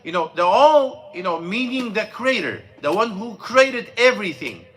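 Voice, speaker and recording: A man, an imam, giving an informal mosque lesson on scripture, halting and searching for words, with non-native English grammar and frequent fillers. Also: high-pitched, very animated, fairly bright tone. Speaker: imam teaching a class.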